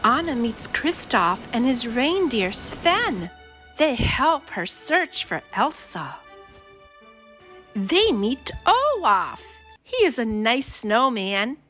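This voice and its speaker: A woman reading a simple children's storybook aloud as a storyteller, in short, deliberate, expressive phrases. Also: high voice. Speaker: children's read-along storyteller. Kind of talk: simple scripted storybook narration for young children.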